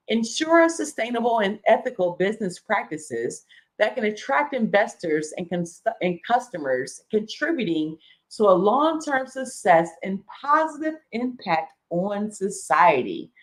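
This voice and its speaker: A middle-aged woman hosting a live reading, going through a list item by item with casual asides and small self-corrections. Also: medium pitch. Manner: reads list items aloud, chatty asides, self-corrections. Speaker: host reading a document aloud.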